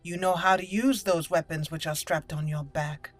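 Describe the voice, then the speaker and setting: A woman's voice: on the low side, fairly even intonation, moderate pace. Female let's play host, roleplay narration of a fantasy game, music bed underneath. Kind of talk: roleplay gameplay narration, reading dialogue choices aloud.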